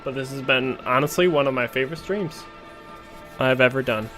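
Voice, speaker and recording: A man with a voice worn hoarse from talking, chatting casually with his stream audience while playing a console game and reading chat aloud. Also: medium pitch, normal speed, music in the background. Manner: chatty, reads chat aloud, bursts into loud excited exclamations. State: losing his voice, sore throat. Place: at home playing a console shooter. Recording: loud game noise behind voice. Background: game gunfire, artillery and vehicles.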